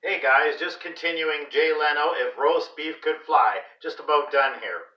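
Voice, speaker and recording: A man reading a children's story aloud in an expressive, deliberate, dramatic way. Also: on the high side, lo-fi sound. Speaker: read-aloud host for a school class. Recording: roomy echo, distant microphone.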